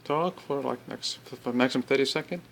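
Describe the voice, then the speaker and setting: A man presenting an informal product demonstration, explaining step by step in halting phrases and repeating himself. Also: mid-range voice, vocal fry, a bit bright. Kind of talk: informal spoken product demo.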